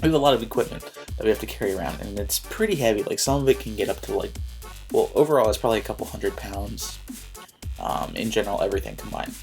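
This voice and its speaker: A young man with a cold and a stuffed-up nose, chatting casually and rambling with lots of likes and ums while he plays a video game. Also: lowish voice. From Philadelphia area, United States. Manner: rambling, frequent likes and ums, mildly annoyed. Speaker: gaming YouTuber. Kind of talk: casual gameplay commentary.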